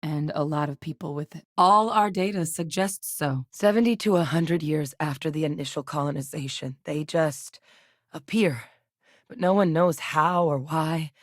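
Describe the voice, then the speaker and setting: An actress voicing a level-headed woman scientist and field veteran in a video game, with a measured, matter-of-fact delivery. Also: low voice, no echo, clean studio sound. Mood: steady. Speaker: video game companion character. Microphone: studio microphone.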